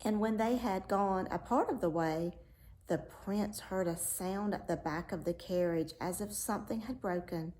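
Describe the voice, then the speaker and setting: A woman reading a fairy tale aloud as a storyteller, measured and expressive. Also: mid-range voice, a little hiss or hum, low-quality recording.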